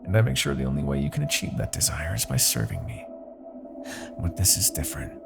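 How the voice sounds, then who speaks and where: A man playing an arrogant, possessive demon king in a husky, theatrical ASMR fantasy roleplay. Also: low voice, huge pitch swings, loud music behind the voice, full character voice. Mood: menacing, intrigued. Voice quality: husky, gravelly. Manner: theatrical, deliberate, swinging from murmurs to outbursts. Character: arrogant, possessive male demon king, obsessed with captive hero. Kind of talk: ASMR roleplay, dramatic monologue.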